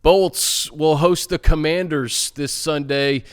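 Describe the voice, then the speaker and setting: A man's voice: a little high, steady tone, slow, smooth. An American man hosting a sports talk show, speaking casually and conversationally as he analyzes games with a co-host.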